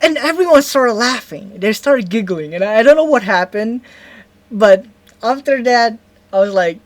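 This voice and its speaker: Halting young woman with an Indonesian accent. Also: fairly high pitch, expressive, no echo. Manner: rambling, halting, self-correcting, keeps asking "right?". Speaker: vlogger. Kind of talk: storytime vlog.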